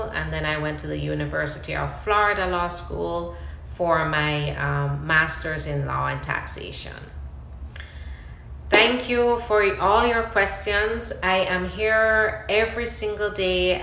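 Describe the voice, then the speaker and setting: A woman's voice: on the low side, vocal fry, a bit bright, echoey room, a little hiss or hum, rough recording. A woman with a Jamaican accent, an immigration attorney answering questions in a live-stream Q&A, explaining carefully with frequent ums and restarts.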